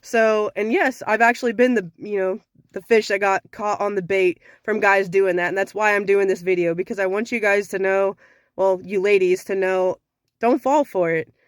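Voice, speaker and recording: Frank woman with a slightly raspy voice. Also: mid-range voice, steady tone, normal speed, no echo, studio quality. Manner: frank, conversational, sassy. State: slightly raspy from sinus trouble. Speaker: vlogger giving dating advice. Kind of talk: casual direct-to-camera talk.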